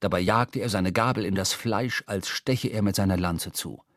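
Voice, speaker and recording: A man narrating a literary sea tale for a radio drama, measured, with dramatic emphasis. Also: lowish voice, no echo, clean studio sound.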